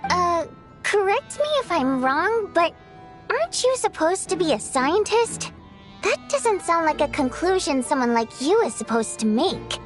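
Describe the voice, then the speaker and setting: An actress playing a brisk, outspoken young theater worker in a video game, talking in a casual, direct way. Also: female voice, extremely high pitch, sing-song, soft background music, exaggerated voice. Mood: teasing.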